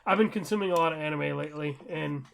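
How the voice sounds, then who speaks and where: A man's voice: a little high, fast, gravelly, a bit bright. A young adult man co-hosting a podcast, chatting casually in an enthusiastic, rambling way. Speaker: podcast co-host. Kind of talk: casual chat about shows and anime.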